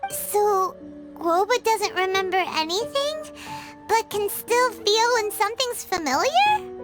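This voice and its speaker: A woman's voice: very high-pitched, sing-song, takes it slowly, music in the background, heavily acted. A woman playing a cheerful, eager young girl chef in a video game, with a perky, earnest manner. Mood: friendly. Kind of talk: animated video game acting.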